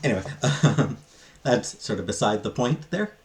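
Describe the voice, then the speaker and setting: A man giving a casual, unscripted book review in a halting, rambling way that often trails off. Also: mid-range voice, expressive.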